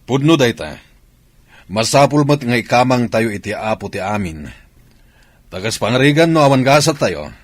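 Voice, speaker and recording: A man acting an earnest, brooding Filipino soldier in a radio drama, with a husky voice. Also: mid-range voice, expressive, moderate pace, long pauses, no room sound, lightly acted. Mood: earnest, commanding.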